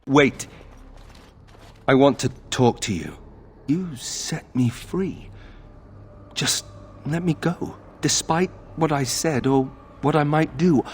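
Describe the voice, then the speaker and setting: An actor playing a brooding, earnest young nobleman in a video game, with a husky, intense voice. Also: a little high, expressive, takes it slowly, pauses often, full character voice. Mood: wary, intense.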